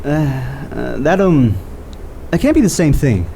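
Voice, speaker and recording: A man playing an excitable, argumentative skeptic in an audio drama, stammering and exasperated in an informal way. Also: mid-range voice, a little slow, vocal fry, slight background noise. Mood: flustered, doubtful.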